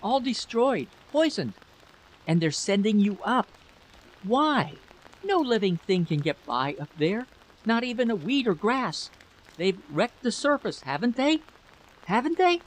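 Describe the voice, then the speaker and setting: A man's voice: high-pitched, big pitch swings, a little slow, pitched above the performer's own voice, heavily acted. A man voicing an anxious, bitter wife in a story reading, pleading emphatically over a noisy recording. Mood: frightened, pleading. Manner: pleading, emphatic, repeating questions.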